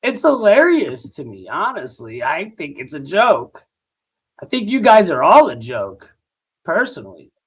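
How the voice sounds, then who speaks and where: Man, high voice, slow drawl, mocking, sore throat, live reaction streamer. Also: wildly swooping pitch, fairly bright tone, husky. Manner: mocking, laughing ranting. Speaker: livestream host.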